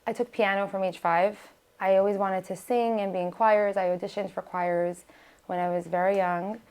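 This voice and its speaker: A woman's voice: medium pitch, steady tone, normal speed, darkish tone, clean tone. An American woman whose first language was Hebrew, chatting as a podcast guest with lots of fillers and restarts. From United States. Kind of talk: casual interview talk.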